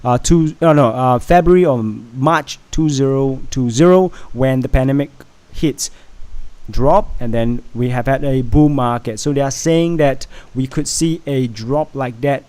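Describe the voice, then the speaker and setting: A man from Singapore, chatting casually on a home livestream and reading out chat, halting and full of fillers. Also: medium pitch, darkish tone. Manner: rambling, halting, many fillers and restarts. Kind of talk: casual live stream chat.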